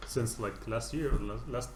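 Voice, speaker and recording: A man's voice: medium pitch, normal speed, background noise. A man around thirty, originally from Egypt, chatting casually as a podcast guest, laughing often and trailing off mid-sentence. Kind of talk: casual chat. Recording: echoey room with faint ambience.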